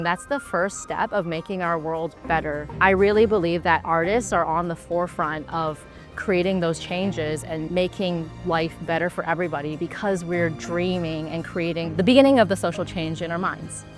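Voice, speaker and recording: A woman's voice: lowish voice, normal speed, faint music behind. A woman artist in a documentary interview, speaking earnestly and sometimes searching for words.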